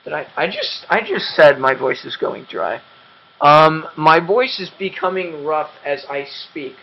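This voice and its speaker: A man's voice: a little high, lively intonation, measured pace, dull audio. A young man hosting a game commentary, rambling and self-mocking with lots of ums, his voice dry and cracking. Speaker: gaming channel host.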